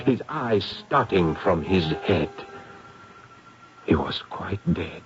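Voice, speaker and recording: An actor playing an urbane, practical professor, theatrical and courteous, in a 1970s radio drama. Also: male voice, on the low side. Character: practical, worldly French professor, genial and urbane. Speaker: character and narrator. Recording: dull, hissy old radio broadcast.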